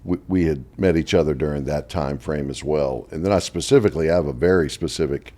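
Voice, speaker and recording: A man's voice: very deep voice, quick pace. A man in his fifties, a longtime play-by-play announcer, chatting in an interview and telling stories with frequent laughs. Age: 50s. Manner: animated storyteller who laughs often. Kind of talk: casual interview chat.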